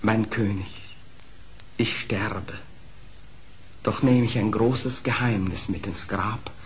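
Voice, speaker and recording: An actor playing a sly, smooth-tongued fox in a radio play, speaking in a formal, theatrical, pleading manner. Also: male voice, on the low side, steady tone, slow pace. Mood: crafty pleading. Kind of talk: classic German radio play acting. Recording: old radio play record, noisy.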